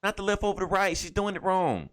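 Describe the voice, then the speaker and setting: A man co-hosting a podcast, chatting casually about comics and games in a profane, opinionated, animated way. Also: high voice, quick pace, over a noisy crowd. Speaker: podcast co-host. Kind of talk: casual comic book and video game chat.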